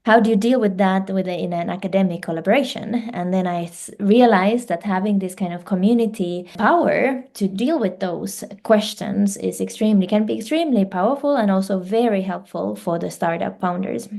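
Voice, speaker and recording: Mellow-voiced woman, hesitant. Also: mid-range voice, moderate pace, vocal fry, hardly any pauses. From Finland. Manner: fluent second-language speaker, hesitant fillers and restarts. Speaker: guest, life science director. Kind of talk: podcast interview.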